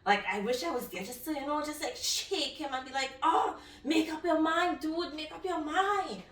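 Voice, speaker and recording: A woman with a bright, forward voice speaks casually and emphatically, like a vlogger sharing reactions with viewers. Her delivery is expressive and conversational. The sound is echoey, with the microphone at some distance. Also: high-pitched, normal speed. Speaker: book review vlogger. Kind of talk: casual reaction and review to camera. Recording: roomy echo, distant microphone.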